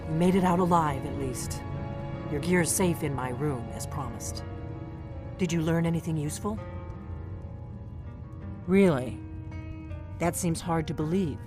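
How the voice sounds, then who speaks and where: A woman's voice: sits low, measured pace, long pauses. An actress playing a terse, world-weary middle-aged female secret agent in a fantasy video game, wry and guarded. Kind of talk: video game character dialogue. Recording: game music behind the voice.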